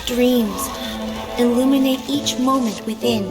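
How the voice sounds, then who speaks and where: Gentle dying goddess for a fantasy game; poetic, tender, very unhurried, music underneath. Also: female voice, a little high, in a large hall. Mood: serene, wistful. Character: gentle, serene dying goddess, loving sister. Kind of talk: video game voice acting.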